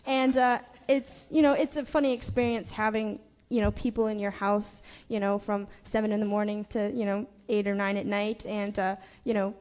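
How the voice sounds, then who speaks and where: A woman's voice: mid-range voice, steady tone, moderate pace, hardly any pauses, darkish tone. A young woman in a family band chatting casually as an interview guest, giggly and peppering her talk with "you know". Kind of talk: casual onstage interview chat.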